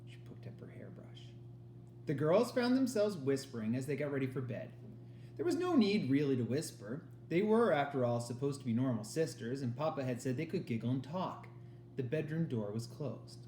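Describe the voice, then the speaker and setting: A man's voice: mid-range voice, big pitch swings, moderate pace, mellow. A man reading a storybook aloud as a teacher does for students, recorded in an echoey room. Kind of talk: storybook read-aloud narration. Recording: echoey room with faint noise.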